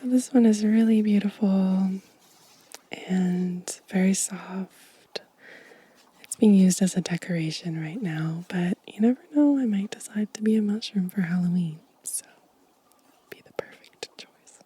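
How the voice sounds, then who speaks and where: A woman softly whispering as an ASMR host, gentle and unhurried, with a breathy voice close to the microphone. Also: medium pitch, dry recording. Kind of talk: soft-spoken whispered ASMR show and tell.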